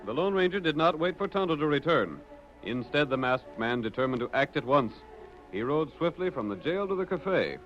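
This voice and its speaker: A man's voice: fairly high pitch, sing-song, moderate pace, music in the background. A man reading scripted narration for an old-time radio drama. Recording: dull lo-fi old radio recording.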